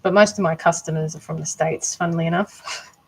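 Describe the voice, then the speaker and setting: Well-spoken Australian woman, lowish voice. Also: fairly quick, slightly rough. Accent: well-spoken Australian, Melbourne. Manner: talks calmly while working, with quiet asides about each step. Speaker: doll artist streaming live. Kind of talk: casual live-stream chat while sculpting.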